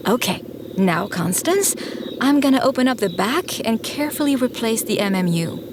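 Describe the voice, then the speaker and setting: An actress playing a patient, frank woman engineer in an audio drama, calm and reassuring with dry humour. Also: on the high side, normal speed, very noisy. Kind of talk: scripted audio drama acting.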